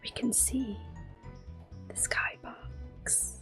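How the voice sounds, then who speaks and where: Slow, whispering woman doing ASMR commentary. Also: soft background music. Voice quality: soft-spoken, mostly whispered. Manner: unhurried, gentle. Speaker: ASMR gameplay host.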